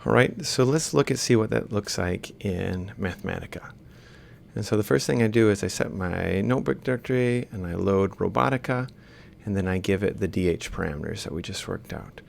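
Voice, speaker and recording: Guy teaching step by step, really creaky low voice, bit of background noise. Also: a little fast. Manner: explains step by step while writing. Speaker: lecturer in robotics. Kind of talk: informal worked-example lecture.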